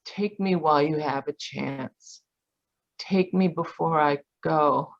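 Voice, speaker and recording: A woman acts out a doomed, bitter young dame from an old radio play. She speaks slowly and weakly, with a heavy creak and a wounded weariness. The sound is slightly dull and muffled, like a video call. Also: deep voice. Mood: pleading, bitter. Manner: slow, weary, wounded. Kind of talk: 1940s radio drama acting.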